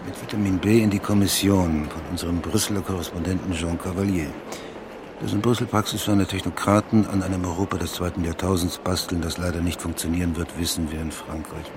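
Low-voiced smug newsman played by an actor, street noise. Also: lightly acted. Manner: muttering to himself, reading aloud. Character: self-satisfied, muttering male newspaper journalist. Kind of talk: radio play acting. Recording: loud outdoor street and traffic noise.

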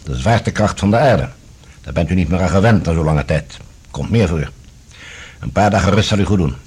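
An actor playing a composed, authoritative security general in a radio drama, speaking formally and courteously but firmly, with a husky voice. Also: male voice, sits low, expressive, brisk, darkish tone, exaggerated voice. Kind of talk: Dutch radio drama acting.

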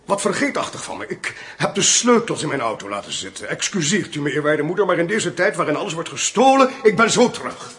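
An actor in a radio play playing a calculating schemer who poses as a polite, hesitant priest. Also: male voice, a little high, very animated, fairly quick, few pauses, fairly bright tone, slightly rough, full character voice.

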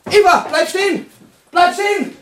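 An actor playing a bewildered, excitable husband caught in a catastrophe, in an urgent radio play style. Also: male voice, very high voice, unhurried, slight background noise. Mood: alarmed, urgent. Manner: urgent, exclamatory, stunned. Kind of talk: dramatic radio play acting.

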